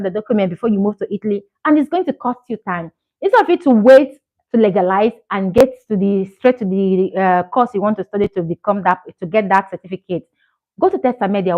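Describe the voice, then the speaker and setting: A woman's voice: on the high side, phone-quality sound. A Nigerian woman in her thirties chatting earnestly to camera as a vlogger, encouraging and repeating herself for emphasis. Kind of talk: casual chat to camera.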